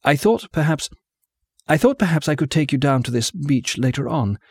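A man's voice: on the high side, animated, moderate pace, breathy edge, no room sound, clean studio sound, voice pushed up. A man voicing a fragile, eager young woman. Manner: soft, pleading, rising to tearful.

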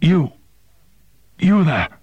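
A wounded alien agent who wheezes and croaks in short, broken bursts, as a male narrator acts him out. The voice is strained and lifted in pitch, and the recording is dry and close. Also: big pitch swings, extremely slow, no echo, highs cut off, exaggerated voice. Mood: desperate.

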